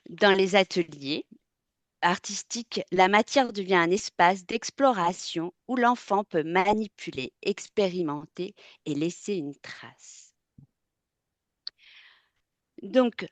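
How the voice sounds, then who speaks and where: A woman from France, a textile artist giving a webinar presentation, speaking thoughtfully and often pausing with fillers and restarting words. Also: mid-range voice, no room sound.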